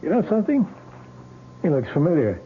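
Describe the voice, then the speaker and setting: Blunt police lieutenant, tinny old radio. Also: male voice, a little high, huge pitch swings, brisk, a little hiss or hum. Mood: businesslike. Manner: pressing, matter-of-fact questioning. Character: blunt, insistent male police lieutenant questioning a woman. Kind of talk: 1970s radio drama acting. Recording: tinny narrow-band old radio recording.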